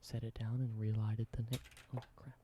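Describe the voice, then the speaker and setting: A man in a whispered ASMR roleplay, softly explaining everyday objects slowly and simply. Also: fairly low pitch, little pitch movement, background noise. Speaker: ASMR creator.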